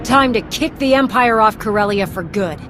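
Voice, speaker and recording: A woman's voice: medium pitch, lively intonation, normal speed, noisy background, lightly acted. A woman playing a bold, decisive Alliance commander in a sci-fi video game, with a firm, commanding delivery. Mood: determined. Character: bold, decisive female Alliance war leader. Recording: music behind.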